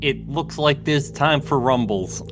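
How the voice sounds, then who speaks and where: Wry, hesitant man with medium pitch. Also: a little slow, music in the background. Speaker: player in a tabletop game. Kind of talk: casual banter.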